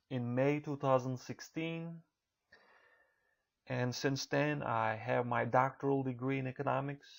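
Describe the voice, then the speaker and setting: A man from Turkey with a Turkish accent delivers a recorded lecture for an online college course. His voice has a gravelly edge, and he speaks in a measured, careful way, sometimes restarting a phrase. His pitch sits in an ordinary middle range. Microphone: computer mic for a narrated slideshow.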